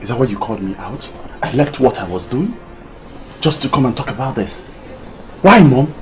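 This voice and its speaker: A man's voice: medium pitch, very animated, moderate pace, natural voice. An actor playing a grown son defending his affair to his mother, impassioned and close to tears, with music behind. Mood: defensive.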